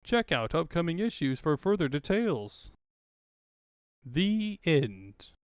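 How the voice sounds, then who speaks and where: A man's voice: on the high side, big pitch swings, slow pace, clear, resonant tone, no echo, studio quality. A man giving scripted narration for a comic book fan dub. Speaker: narrator and voice performer.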